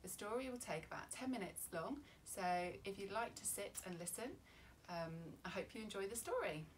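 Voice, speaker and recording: A woman reading a children's story in an expressive, measured and dramatic way. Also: mid-range voice, slight background noise.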